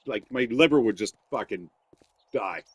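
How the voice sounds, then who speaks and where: Loud, swearing gamer man. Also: lowish voice, animated, moderate pace, slightly rough. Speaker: gaming streamer. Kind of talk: casual gameplay commentary.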